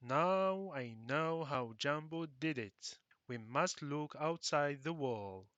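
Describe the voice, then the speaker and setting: A man's voice: a little high, lively intonation, takes it slowly, dull audio, noisy background. A man voicing a confident, unflappable storybook detective with a terse, matter-of-fact manner. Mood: decisive.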